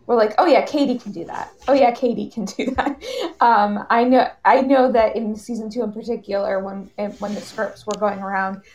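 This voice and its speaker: A woman's voice: a little high, steady tone, normal speed, darkish tone. A woman from an audio drama writing team, talking informally and appreciatively in a casual panel discussion. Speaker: podcast writing team member.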